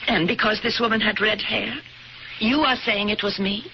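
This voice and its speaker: A woman's voice: mid-range voice, fairly even intonation, normal speed, vocal fry, slight background noise, lightly acted. An actress playing a guarded, evasive married woman under questioning in a radio drama, clipped and defensive. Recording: dull vintage radio recording.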